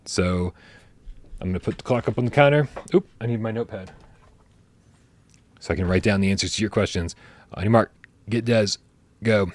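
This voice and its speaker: A man hosting a casual gaming livestream, talking in animated bursts, swearing casually and restarting his sentences. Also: on the low side, moderate pace, long pauses. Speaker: podcast host.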